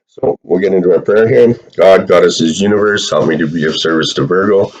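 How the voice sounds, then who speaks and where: A man's voice: sits low, fairly quick, slight background noise, low-quality recording. A man giving a casual, chatty tarot reading straight to his viewers, with lots of fillers and the odd swear word. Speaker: tarot reader.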